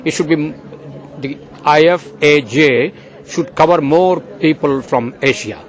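An older man from Bangladesh answers interview questions outdoors with slow, earnest conviction. His voice sits a little high for a man, and he lingers on words as if preaching. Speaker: agricultural journalist, guest.

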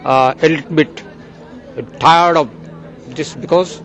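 An older man from Bangladesh, an agricultural journalist, giving slow, earnest interview answers outdoors. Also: fairly high pitch, fairly even intonation, a bit bright, a faint crowd.

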